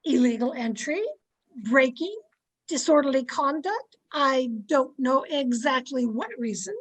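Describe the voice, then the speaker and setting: A woman acting a bossy society wife in an old-time radio play. Her voice is high and her delivery slow and theatrical. She scolds and commands with haughty indignation. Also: expressive. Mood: outraged, commanding. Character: domineering, haughty society wife, easily outraged. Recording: amateur video-call recording.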